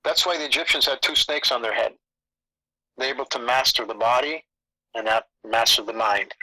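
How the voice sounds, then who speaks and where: A man's voice: mid-range voice, normal speed, pushed and bright, no room sound, rough recording. A man who grew up in Canada with Italian as his first language, rambling through a casual spiritual chat and repeating phrases. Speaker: spiritual channel host.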